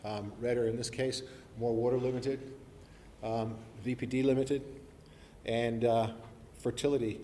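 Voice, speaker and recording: A man's voice: mid-range voice, level tone, a little slow, warm tone. A middle-aged man from New Zealand with a mixed, not typically Kiwi accent, giving a chatty, self-deprecating talk to an audience, full of ums and restarts. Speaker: forestry professor giving a talk. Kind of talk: live presentation to an audience. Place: lecture hall. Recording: live hall recording with reverb and faint hiss.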